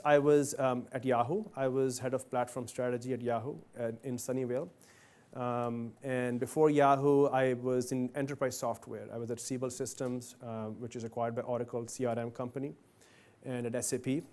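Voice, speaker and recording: A man's voice: mid-range voice, darkish tone. Man giving a chatty keynote. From Pakistan. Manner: chatty, addresses the audience directly with questions. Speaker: company CEO giving a guest talk. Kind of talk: conversational keynote to students. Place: university event hall.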